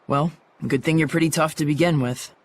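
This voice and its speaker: A man's voice: on the high side, fairly even intonation, a little fast, a little hiss or hum, natural voice. An actor playing a skeptical, wry but loyal young male companion in a video game, speaking articulately. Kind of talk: English video game dub acting.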